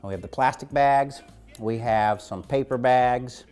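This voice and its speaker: A man's voice: medium pitch, a little slow, a little mellow, smooth, soft background music. A man speaking as a teacher and host, explaining an activity to students in an instructional video. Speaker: physical education teacher hosting a lesson video.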